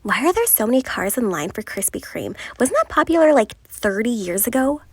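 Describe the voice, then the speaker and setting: Expressive female voice, lovelorn passenger played by an actress, wistful, confessional, cartoon dialogue, close dry sound. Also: a little high, brisk, slightly rough, dry recording, full character voice. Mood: questioning. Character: lovelorn, frustrated female passenger venting about romance. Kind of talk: animated series dialogue.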